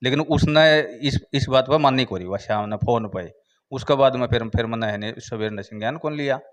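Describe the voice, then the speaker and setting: A man with a Haryanvi accent, a village head giving an informal interview account, haltingly, with repeated filler phrases. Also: medium pitch.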